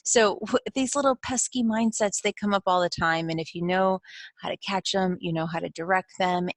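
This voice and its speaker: Casting: middle-aged woman from Ukraine, Russian native, lowish voice, earnest teacher who stumbles and restarts, close dry recording. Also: no echo. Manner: earnest, restarts mid-phrase, asks the viewer direct questions. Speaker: CEO and founder of a positive psychology school. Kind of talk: informal educational talk to camera.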